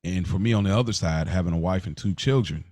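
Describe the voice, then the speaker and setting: Low-voiced man in casual podcast talk. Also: fast, darkish tone, dry recording, studio quality. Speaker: podcast co-host.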